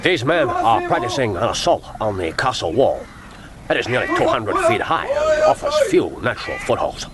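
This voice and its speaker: An actor playing a courteous but stern Japanese secret service chief in a radio play, with a Japanese accent and formal, measured, instructive speech. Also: male voice, mid-range voice, wildly swooping pitch, a loud crowd. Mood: commanding. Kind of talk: radio drama acting. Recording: radio play with loud music underneath.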